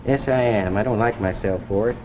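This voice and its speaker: Actor as mild dreamy inventor, muffled old recording. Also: male voice, fairly low pitch, brisk, close to an everyday voice. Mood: earnest, self-doubting. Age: young adult. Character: timid, dreamy young amateur inventor, mild and stubborn. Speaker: actor in a science fiction drama. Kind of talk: 1950s science fiction anthology acting. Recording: muffled, noisy 1950s broadcast with reverb.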